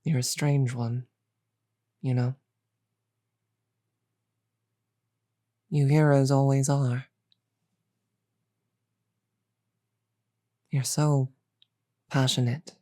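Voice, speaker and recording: A woman playing a regal, measured demon queen in an audio roleplay, grave and wryly amused, pausing deliberately and often slipping toward a whisper. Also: very deep voice, steady tone, mellow, full character voice. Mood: reflective, fond. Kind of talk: scripted audio roleplay, slow dramatic delivery.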